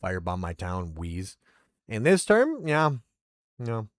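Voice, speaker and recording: Rambling low-voiced male streamer. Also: huge pitch swings, slow, no room sound, clean studio sound. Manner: rambling and self-deprecating. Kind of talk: casual chat, reading roleplay aloud.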